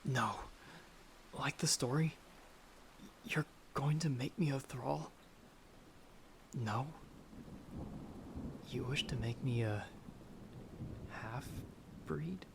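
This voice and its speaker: A man playing a frightened but defiant young traveler in a dramatic audio roleplay, with breathless, halting, intense delivery. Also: mid-range voice, vocal fry. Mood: wary, confused. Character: frightened, defiant young male traveler turned half-vampire. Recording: noisy track with storm ambience.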